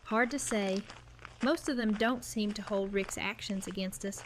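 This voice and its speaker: A woman's voice: mid-range voice, unhurried, slight background noise, natural voice, low-quality recording. A woman playing a loyal, determined female character in an audio drama, speaking candidly and plainly. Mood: worried, candid. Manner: plain-spoken.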